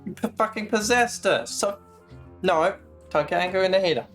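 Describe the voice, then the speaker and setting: A woman's voice: medium pitch, normal speed. A woman streaming a game, chatting casually with irreverent, sweary commentary. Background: game music.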